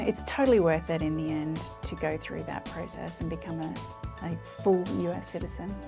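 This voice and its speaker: A woman from Australia with a low, gravelly voice tells her story in a chatty way, full of fillers. Music plays clearly behind her. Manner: conversational, many fillers. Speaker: historic preservation coordinator. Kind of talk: personal story interview.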